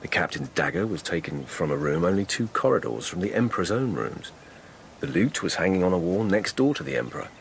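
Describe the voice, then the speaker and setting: A man's voice: low-pitched, sing-song, fairly quick, gravelly, slight background noise, full character voice. An actor playing an earnest, probing police lieutenant in a radio drama, courteous and direct in his questioning. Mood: earnest, suspicious.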